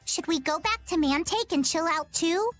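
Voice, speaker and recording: A woman's voice: extremely high pitch, animated, moderate pace, soft background music. A woman playing a quirky, self-assured little girl seafarer in a video game, earnest and quaint in manner. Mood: breezy. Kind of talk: video game cutscene voice acting.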